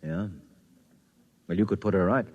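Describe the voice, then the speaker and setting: Male actor as tired skeptical investigator, lowish pitch, plainspoken, wry, hard-drinking, vintage radio drama. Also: moderate pace, phone-quality sound, slight background noise, lightly acted. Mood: probing, skeptical. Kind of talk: 1950s radio drama acting with first-person narration. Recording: vintage 1950s radio recording.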